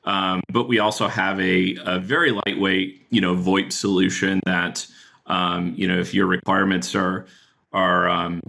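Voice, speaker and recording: A man explaining a business software product as a podcast guest, talking in a relaxed way with many fillers. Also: low voice, unhurried, gravelly. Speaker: guest, chief product officer.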